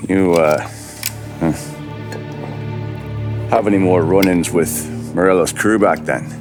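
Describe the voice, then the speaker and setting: An actor playing a gruff, skeptical interrogator in a video game. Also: male voice, deep voice, steady tone, slow pace, over music. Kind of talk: cinematic game acting.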